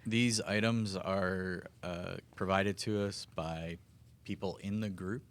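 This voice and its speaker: A man's voice: sits low, steady tone, slow pace. A man playing a tabletop game, chatting casually and reading out item descriptions.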